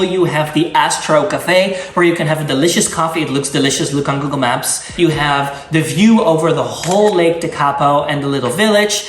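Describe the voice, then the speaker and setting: A man's voice: a little high, fairly bright tone. Young Dutch-accented male vlogger, eager and chatty, recorded roomy from a few feet away. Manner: enthusiastic, gushing, with many fillers and restarts. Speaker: travel vlogger. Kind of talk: casual travel-guide talk. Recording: roomy sound, mic at a distance.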